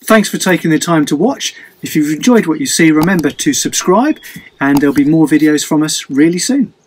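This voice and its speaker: A middle-aged man hosting his travel vlog from inside a parked campervan, talking to a camera on the dashboard in a relaxed way with plenty of "uh"s. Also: on the high side, brisk, a little hiss or hum. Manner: relaxed, hesitant with fillers. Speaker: vlog host. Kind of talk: casual to-camera intro. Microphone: camera facing him from the dashboard.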